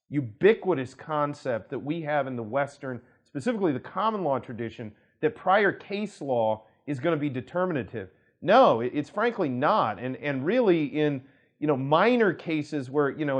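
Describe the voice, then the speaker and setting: A man, a law firm director, explaining legal concepts to camera in a conversational way, with frequent restarts and asides. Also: fairly high pitch. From United States.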